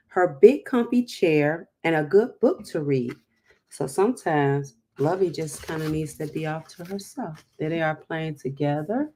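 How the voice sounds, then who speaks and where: A woman's voice: low-pitched, a little mellow. A woman hosting a storytime, reading a picture book aloud with chatty, encouraging, emphatic asides.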